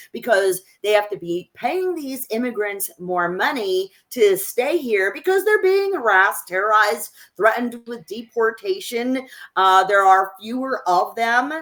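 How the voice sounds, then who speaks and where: A woman co-hosting an unscripted political discussion, speaking in an emphatic, opinionated way and halting as she gathers her thoughts. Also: medium pitch, a bit bright. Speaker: co-host of a political discussion show.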